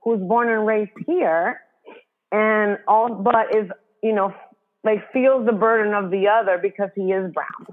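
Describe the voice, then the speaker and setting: A woman's voice: mid-range voice, fairly even intonation, unhurried. A woman from the Dominican Republic, a guest author, talking earnestly in a casual interview and thinking aloud with many fillers. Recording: tinny phone-like line.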